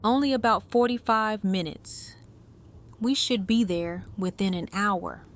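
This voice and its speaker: A woman playing a shattered, grieving survivor in an audio drama, subdued and halting. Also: medium pitch, lightly acted.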